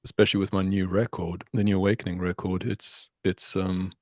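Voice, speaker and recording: A middle-aged musician, a man, talking as a guest in a relaxed interview, reflective and measured, thanking his host warmly. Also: low-pitched, dry recording, clean studio sound. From South Africa. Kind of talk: casual interview chat.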